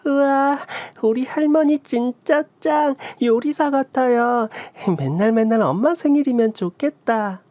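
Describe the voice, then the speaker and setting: Man doing an excited high-pitched little kid. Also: measured pace, hardly any pauses, no echo. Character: excited, adoring young grandchild. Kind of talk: Korean radio story acting.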